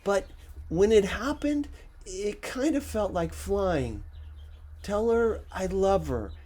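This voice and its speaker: Grown man doing a sweet little boy ghost, high voice, childlike, gentle, bit halting, audiobook. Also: big pitch swings, exaggerated voice. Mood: tender, earnest.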